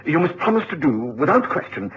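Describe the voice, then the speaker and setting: An actor playing a secretive, commanding aristocrat with an upper-class English accent in a radio play, pompous and given to hesitating and repeating himself. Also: male voice, sits high, expressive, fast talker. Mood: insistent, secretive. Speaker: supporting character in a radio play. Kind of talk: British radio drama acting. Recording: muffled, noisy old radio broadcast tape.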